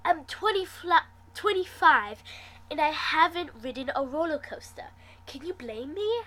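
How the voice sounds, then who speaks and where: A woman's voice: high voice, lively intonation, a little slow, fairly bright tone, pitched above the performer's own voice. A woman voicing a playful, sarcastic young sister in a story, sing-song and mock-threatening. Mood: nervous, defensive. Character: playful, teasing twenty-five-year-old woman, sarcastic sister.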